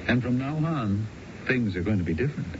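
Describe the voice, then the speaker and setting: An actor playing a brazen, smooth-talking career con man and ex-convict in a radio drama, breezy and argumentative. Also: mid-range voice, expressive, a little fast, close to an everyday voice. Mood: unabashed. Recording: dull, noisy old radio broadcast.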